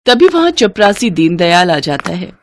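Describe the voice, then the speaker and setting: A woman's voice: fairly low pitch, sing-song, moderate pace, slight background noise. A woman reading a scripted children's devotional story as its storyteller.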